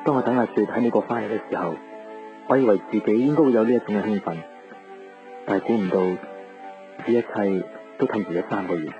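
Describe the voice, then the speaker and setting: An actor playing a devoted, teasing young boyfriend in a Cantonese radio drama. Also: male voice, lowish voice, fast talker, pauses often, natural voice. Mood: earnest, reflective. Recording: dull radio broadcast with music.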